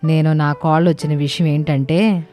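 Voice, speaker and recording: Woman playing a low, mellow village mother-in-law. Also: steady tone, normal speed, lightly acted. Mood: teasing. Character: affectionate older village mother-in-law, wistful and plain-spoken. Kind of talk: acted dialogue in animated comedy story. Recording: music under the voice.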